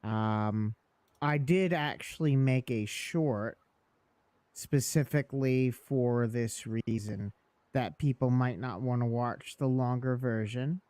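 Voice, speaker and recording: Slow, smooth-voiced sardonic man. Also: mid-range voice, darkish tone, dry recording. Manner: dry and sardonic. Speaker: guest on the stream. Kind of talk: casual chat.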